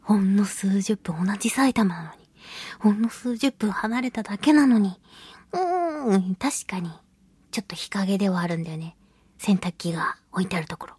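A woman hosting a radio talk show on her own, chatting casually and telling stories in an animated, giggly, colloquial way. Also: mid-range voice, moderate pace, slightly rough, no echo. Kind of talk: casual solo radio chat.

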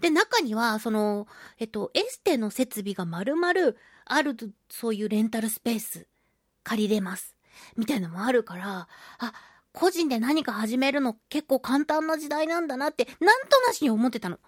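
A woman hosting a solo radio talk show, chatting and reading out listener mail with animated, playful reactions. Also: on the high side, moderate pace, dry recording, studio quality. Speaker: radio show host, voice actress.

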